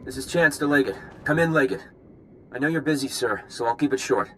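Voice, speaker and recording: A man acting a helpful junior intelligence officer in a video game. He has a mid-pitched voice and an earnest, respectful, businesslike delivery. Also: fast, sharp tone, slight background noise, close to an everyday voice, low-quality recording. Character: deferential, helpful male intelligence desk officer. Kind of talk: scripted game cutscene dialogue.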